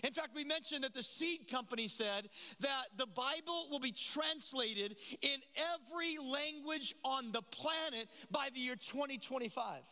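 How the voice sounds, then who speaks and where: A middle-aged man preaching a sermon as pastor on a large church stage, impassioned, slowing and dropping low when he reads scripture. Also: sharp tone. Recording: live church hall reverb.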